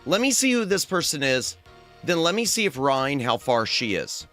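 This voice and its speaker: A man's voice: fairly high pitch, sing-song, moderate pace, a bit bright, faint music behind. A man livestreaming, tired and straining his throat, chatting casually in a playful, rambling way and humming to himself. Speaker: streamer.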